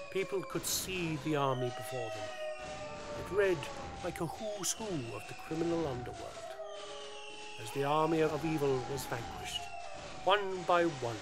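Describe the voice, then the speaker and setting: A man reciting scripted narration for a radio play in theatrical, measured phrases. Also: fairly high pitch. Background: music.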